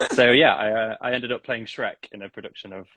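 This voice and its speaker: Low-voiced chatty man, dry sound. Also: steady tone, normal speed, a bit bright, no room sound. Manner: chatty, laughs often, self-deprecating. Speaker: singing teacher, podcast guest. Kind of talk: casual interview chat.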